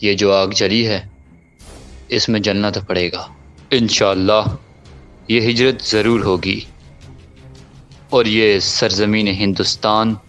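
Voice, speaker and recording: A grave elder leader in an audio drama, voiced by a man, speaking slowly and solemnly and building to rousing speeches. His voice sits at an ordinary pitch, and faint music plays under it. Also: flat delivery, fairly bright tone. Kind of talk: Urdu audio drama acting.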